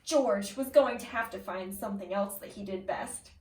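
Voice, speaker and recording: A woman reading a picture book aloud like a kindergarten teacher, expressively, pausing to put questions to young listeners. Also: mid-range voice, a little mellow. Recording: roomy echo, distant from the mic.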